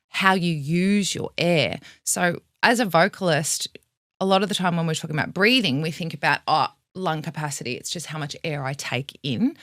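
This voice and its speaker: A woman's voice: low-pitched, dry recording, clean studio sound. A woman vocal coach with an Australian accent, explaining vocal health in a frank, teacherly way and swearing casually.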